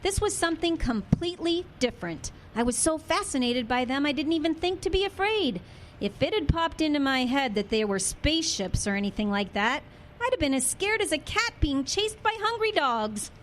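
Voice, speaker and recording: Lady playing excited earnest townswoman, high animated voice, audio drama. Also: moderate pace, slight background noise. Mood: earnest wonder. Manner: emphatic, earnest. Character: excitable, awestruck female small-town eyewitness.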